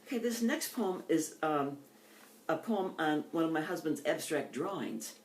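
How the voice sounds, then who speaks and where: The voice is an older woman's, with the careful phrasing of a poet at a reading. She speaks in a measured, deliberate way. The sound is strongly echoey, with the room clearly heard around her. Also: low-pitched, animated, a little hiss or hum. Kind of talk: poetry reading with informal introductions.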